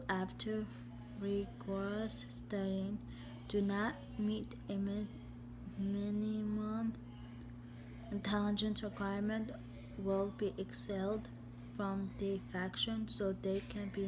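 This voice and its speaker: A woman reading a text aloud slowly and haltingly in a steady, even tone, often repeating words and restarting. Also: medium pitch. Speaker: vlogger. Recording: steady background hum.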